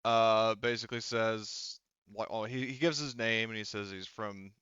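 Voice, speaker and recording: A man casually running an improvised tabletop game as game master, halting and rambling as he thinks aloud. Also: on the low side, steady tone, bright, forceful tone, smooth, no echo, lo-fi sound.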